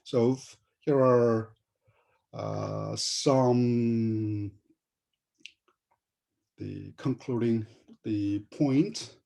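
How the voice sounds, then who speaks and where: A man closing his academic talk and then introducing the next speaker, halting now and then with fillers. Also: mid-range voice, vocal fry, warm tone. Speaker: session chair and presenter. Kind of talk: academic presentation.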